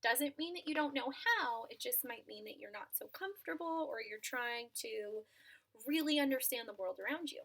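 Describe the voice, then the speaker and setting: Expressive, high-voiced woman reading a storybook. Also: moderate pace, vocal fry. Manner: expressive, addressing children directly. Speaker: teacher reading aloud to a class. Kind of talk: children's storybook read-aloud with asides.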